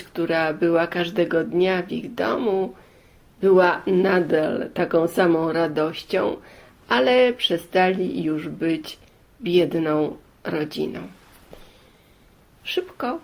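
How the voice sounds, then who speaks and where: Slow, creaky-voiced woman telling a tale. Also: low voice. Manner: deliberate short phrases with many pauses and repeats. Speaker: storyteller. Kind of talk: improvised fairy-tale storytelling.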